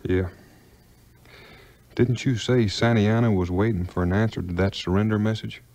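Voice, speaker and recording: A man acting a calm, folksy frontier hero with a Southern drawl in a 1950s record audio play. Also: lowish voice, natural voice. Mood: calm, friendly. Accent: American Southern frontier drawl. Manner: unhurried, plainspoken, homespun.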